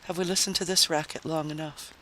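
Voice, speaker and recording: Man doing a light, bright, sardonic stranger. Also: a little high, steady tone, low-quality recording. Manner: rapid, playful, on guard. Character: sardonic, quick-witted male stranger, facetious and guarded. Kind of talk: dramatic story reading. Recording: faint background noise.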